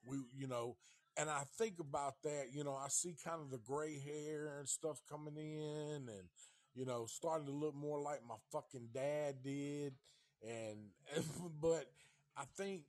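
A man's voice: a little high, a little slow, darkish tone, dry recording, clean studio sound. A fifty-year-old man from Tennessee hosting a casual livestream chat, rambling and swearing in a laid-back way. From Nashville, Tennessee. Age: 50s. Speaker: livestream host.

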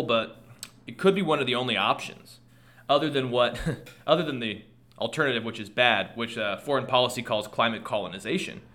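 Bright-voiced man hosting a news podcast. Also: medium pitch. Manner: conversational, thinking aloud with restarts and asides. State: losing his voice. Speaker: podcast host. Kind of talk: solo news commentary podcast.

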